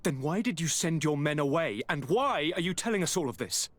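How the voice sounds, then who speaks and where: A man's voice: fairly high pitch, animated, brisk, fairly bright tone, no echo. An actor playing a needy, anguished young aristocrat in a video game, theatrical and formal in his delivery. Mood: indignant. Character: sickly, anguished young male aristocrat governor, melodramatic and needy. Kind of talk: dramatic video game acting.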